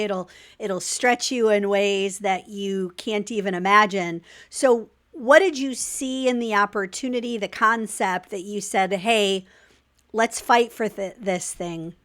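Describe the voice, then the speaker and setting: A woman hosting an interview podcast as a coach, asking questions with quick, enthusiastic energy. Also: medium pitch, fairly bright tone. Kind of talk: conversational interview.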